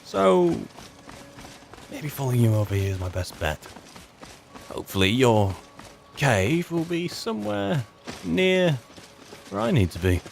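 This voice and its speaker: A man's voice: mid-range voice, very animated, measured pace, gravelly, long pauses, slight background noise. A man improvising in-character commentary as he roleplays through a video game, musing and wry as he chats.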